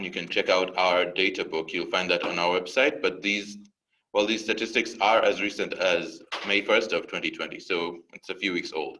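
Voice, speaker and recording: A young man from Uganda, an admissions counselor giving a relaxed, lightly joking webinar presentation with a few fillers. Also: low-pitched, poor audio.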